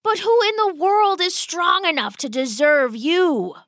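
A woman's voice: extremely high pitch, unhurried, fairly bright tone, dry recording, clean studio sound, pitched above the performer's own voice. A woman playing a blustering, dramatic old father rat. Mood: doting, grandiose. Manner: blustering, theatrical, emphatic. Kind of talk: children's storybook character voice.

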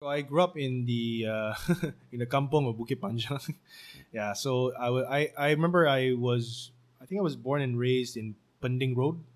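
A man's voice: medium pitch, normal speed. A man in his twenties with a Singaporean English accent, chatting casually in an interview and laughing often between fillers. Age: 20s. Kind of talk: casual conversational storytelling.

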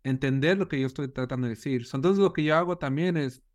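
Higher-voiced man. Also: fast. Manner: mixes English filler words into Spanish. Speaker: guest video creator. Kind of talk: casual panel chat.

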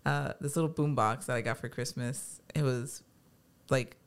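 A woman in her thirties from the United States, co-hosting a casual podcast and telling stories in lively, slangy chat. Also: rumbling low, moderate pace, smooth. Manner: animated, chatty storyteller with casual slang. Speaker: podcast co-host.

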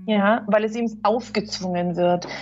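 A woman's voice: medium pitch, steady tone, measured pace, darkish tone. A woman sharing her views in a casual conversation, thoughtful and opinionated. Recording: lo-fi recording with faint music.

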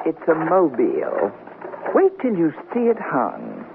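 An actress playing a witty, self-assured middle-aged newlywed wife, teasing dryly in a radio comedy drama. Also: female voice, deep voice, wildly swooping pitch, a little slow, creaky, full character voice. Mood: arch, breezy. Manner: arch, dry teasing. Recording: tinny, noisy old radio broadcast recording.

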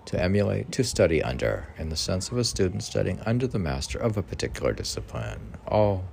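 A man hosting an informal poetry podcast, reading aloud haltingly and stumbling over words, with muttered asides. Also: low-pitched, very animated, vocal fry, darkish tone, a little hiss or hum.

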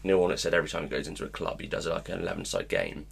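A man with a very low, deep voice talks casually about football, meandering through stories and leaning on "like" as he goes. His delivery is loose and unhurried, the tone of a relaxed chat between friends. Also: creaky, breathy edge. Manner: rambling, full of "like" fillers. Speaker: podcast co-host.

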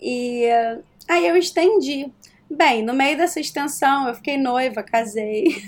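A woman from Rio de Janeiro with a Carioca accent, a guest chatting casually in an interview, animated and quick to laugh. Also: sits high. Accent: Carioca Portuguese. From Rio de Janeiro, Brazil. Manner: animated storyteller, laughs often.

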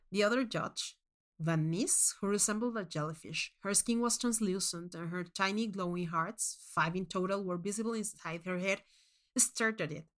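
Slow-paced woman reading a short story. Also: mid-range voice, pushed and bright. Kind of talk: scripted first-person fiction narration.

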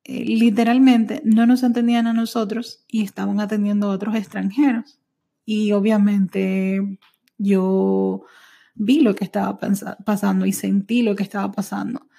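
Mellow-voiced woman telling her life story. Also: medium pitch, flat delivery, vocal fry. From United States. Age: 30s. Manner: intimate, reflective, frequent "eh" pauses. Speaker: podcast host. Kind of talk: personal storytelling podcast. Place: small home apartment. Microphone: studio microphone.

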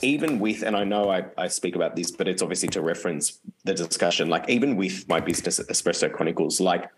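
A man's voice: low-pitched, quick pace, slight background noise. A man co-hosting a podcast, talking casually and earnestly with lots of "like" fillers. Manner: rambling, frequent "like" fillers, open and earnest. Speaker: podcast co-host. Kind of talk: casual conversation.

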